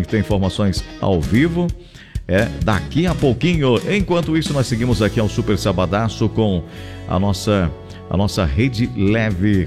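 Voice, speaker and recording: A man with a southern Brazilian accent hosting live radio in a chatty, effusive way, often repeating his phrases. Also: fairly low pitch. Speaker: radio host. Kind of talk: live radio chat, shout-outs and ad reads. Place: radio studio. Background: music under the voice.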